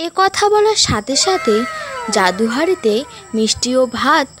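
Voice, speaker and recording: A woman's voice: high-pitched, very animated, unhurried, background noise. A woman reading scripted narration for a children's cartoon story, with music behind her.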